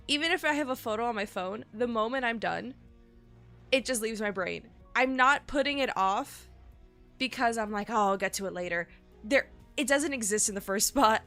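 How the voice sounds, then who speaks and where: A woman's voice: on the high side, sharp tone. A woman streamer chatting casually with her audience while sick, nasal and sniffly, rambling and swearing. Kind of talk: casual live-stream chat.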